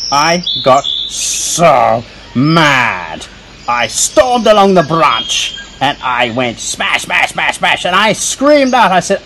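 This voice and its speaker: A man voicing an excitable, talkative young squirrel who tells children a story in a theatrical, self-important way. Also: fairly high pitch. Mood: indignant storytelling. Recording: faint noise with birdsong and crickets.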